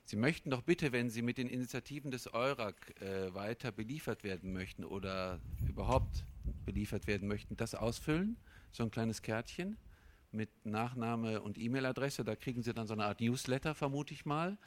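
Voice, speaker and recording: A man moderating a panel event, brisk and chatty, stumbling now and then over words. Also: mid-range voice, a little hiss or hum.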